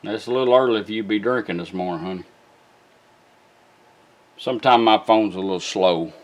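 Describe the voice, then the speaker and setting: A man's voice: on the low side, brisk. A man giving a casual, informal hands-on product demonstration in a folksy way. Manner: folksy, informal, talks to the gadget.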